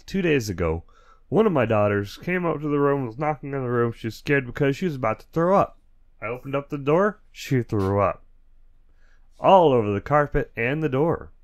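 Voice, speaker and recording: A man hosting his own podcast in a casual, rambling way, talking through a lingering cold with a dry, stuffy throat. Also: medium pitch. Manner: rambling and self-correcting, with chuckles. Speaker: podcast host. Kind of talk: casual solo podcast chat.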